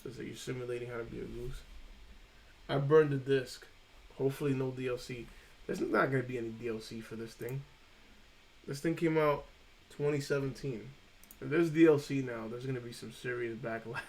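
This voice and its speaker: A man's voice: mid-range voice, gravelly, low-quality recording. A man chatting casually on a live stream about game trophy lists, rambling and saying "freaking" often.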